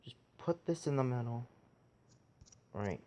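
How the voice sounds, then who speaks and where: A man giving a casual how-to tutorial in a halting way, pausing between short phrases. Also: mid-range voice, expressive, warm tone. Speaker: tutorial video creator.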